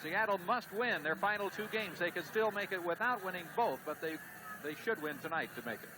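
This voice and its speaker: Relaxed 1970s soccer color commentator, man. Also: high voice, brisk, noisy background. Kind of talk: 1970s TV sports broadcast.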